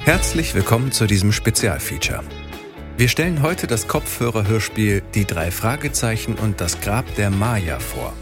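A man's voice: lowish voice, lively intonation, moderate pace, over music. A man presenting an audio feature in scripted narration.

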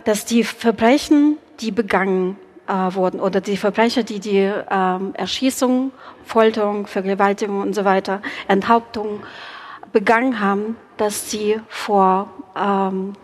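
A woman, a panelist in a live debate, speaking earnestly with many fillers and restarts. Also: medium pitch. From Ukraine. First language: Ukrainian and Russian. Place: church before an audience.

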